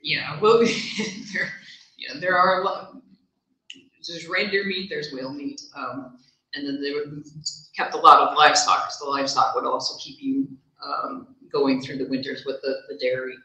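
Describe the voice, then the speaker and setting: A woman in her thirties with a bright, punchy voice gives an informal talk at a library and takes questions from the audience. She explains things chattily and laughs easily. Her voice rings around the roomy meeting hall. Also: low voice, normal speed. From Wisconsin, USA. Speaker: guest speaker at a library lunch talk.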